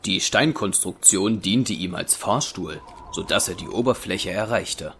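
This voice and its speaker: An actor as the sober narrator of an adventure audio drama, reading scripted narration. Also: male voice, sits low, unhurried, fairly bright tone, slight background noise. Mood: sober, suspenseful.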